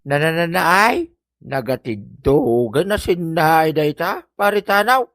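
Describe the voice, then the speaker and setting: A man's voice: fairly high pitch, big pitch swings, a little slow, dry recording, clean studio sound. A man with an Ilocano accent playing a teasing, nosy buddy in a village comedy drama, full of exclamations.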